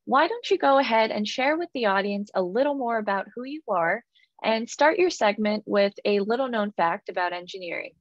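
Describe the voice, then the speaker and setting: Woman moderator, polished and scripted, mid-pitched, dry and slightly dull webinar sound. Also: expressive, moderate pace, dry recording. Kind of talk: reading panelist introductions.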